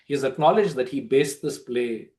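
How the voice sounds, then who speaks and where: A man's voice: medium pitch, animated, moderate pace, darkish tone. A man with an Indian accent in an informal book club discussion, explaining and often rephrasing as he goes.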